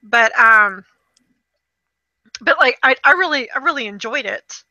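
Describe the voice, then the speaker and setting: Very bright-voiced woman chatting informally. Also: a little high, animated, unhurried, dry recording, dull audio. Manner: informal, conversational, opinionated. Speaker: podcast co-host. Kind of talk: casual chat and commentary.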